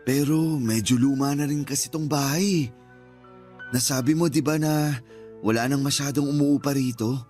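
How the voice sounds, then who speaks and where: A man's voice: medium pitch, fairly even intonation, normal speed, darkish tone, soft background music, close to an everyday voice. A Filipino man acting in a radio drama as a nervous guest who is easily spooked, with an uneasy, questioning manner. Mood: curious.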